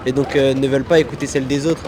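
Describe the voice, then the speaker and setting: A man's voice: mid-range voice, level tone, rapid-fire, a little mellow. A young man answering a street interviewer earnestly, searching for his words. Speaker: passer-by interviewee. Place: busy city square outdoors. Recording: street traffic noise.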